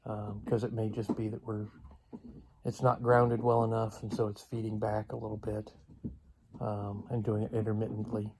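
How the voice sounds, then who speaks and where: A man talking casually and unscripted for a vlog, in a halting, hesitant way with long pauses. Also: on the low side, monotone, darkish tone, a little hiss or hum. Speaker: vlogger.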